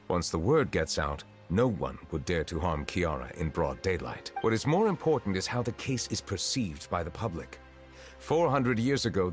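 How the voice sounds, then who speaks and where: An actor playing a grave, dignified chief justice in a video game, speaking in a formal, measured, reserved way. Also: male voice, deep voice.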